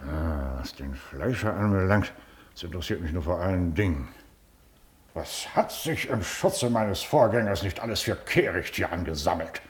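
Pompous Prussian official, wildly swooping delivery. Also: male voice, sits low, animated, normal speed. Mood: sinister, contemptuous. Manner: officious, commanding, interrogating, hems and haws. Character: pompous, self-important male Prussian district official and baron. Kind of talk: German classic radio play acting.

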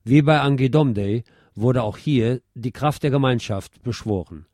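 A man reading scripted narration aloud in a measured, literary manner. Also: mid-range voice, a little mellow, dry recording, studio quality. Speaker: narrator reading an essay on German music history.

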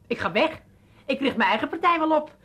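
A feisty woman in a radio comedy. Her voice is on the high side and swings up and down with sarcasm and indignation. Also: lively intonation, fairly quick, a bit bright. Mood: indignant. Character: feisty, sharp-tongued Amsterdam family woman. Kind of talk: comic radio play acting.

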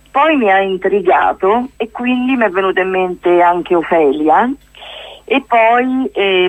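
A woman, chatting as a playwright-actress guest in a casual radio interview, often halting and rephrasing herself. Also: mid-range voice, no echo, narrow, tinny audio. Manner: chatty, halting and self-correcting, with amused asides. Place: radio studio.

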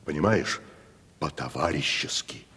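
A man's voice: extremely low pitch, measured pace, pushed and bright, breathy edge, heavily acted. An actor playing a pompous, down-at-heel provincial tragedian who talks down to his companion in a classical radio comedy. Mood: coaxing, threatening. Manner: patronising, grandly condescending. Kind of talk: Russian classical stage comedy, radio play. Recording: reverberant 1970s radio drama recording.

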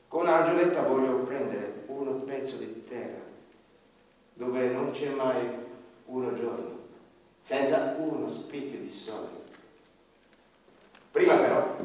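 Man narrating a radio play in a hall. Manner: slow, dramatic, with hushed low passages. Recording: echoey hall, from far off, faint ambience.

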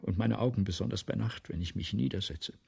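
An actor playing an ailing, embittered, self-dramatizing playwright in a German radio play, speaking theatrically in rhetorical repetitions, with a husky, gravelly voice that drops to whispers. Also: male voice, fairly low pitch, brisk, faint music behind, exaggerated voice. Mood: plaintive, fearful. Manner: theatrical, rhetorical, building repetitions then sudden hushes.

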